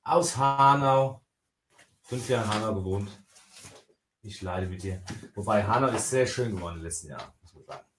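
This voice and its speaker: A man in his thirties from Lower Franconia, joking with his wife on a livestream and reading viewer comments aloud. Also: expressive, normal speed, pauses often. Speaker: co-host reading live chat. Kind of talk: joking live-stream banter. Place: home craft room, indoors. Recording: roomy echo, faint noise.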